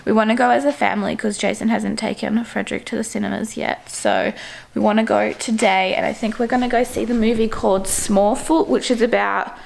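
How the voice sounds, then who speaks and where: A woman, chatting to camera in a casual family vlog, hoarse and losing her voice from a cold. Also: mid-range voice, steady tone, brisk. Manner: chatty, with bursts of sing-song baby talk. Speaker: family vlogger. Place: home living room, then a car. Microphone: handheld camera held at arm's length.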